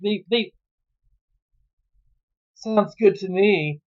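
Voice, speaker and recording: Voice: medium pitch, extremely slow, highs cut off. A sarcastic, exasperated reviewer who swears freely while giving casual commentary on a story.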